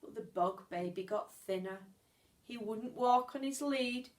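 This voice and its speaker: A woman reads a children's storybook aloud in a fairly high voice. Her pace is very slow, with expressive phrasing, drawn-out words and deliberate pauses, as a teacher would read to a class.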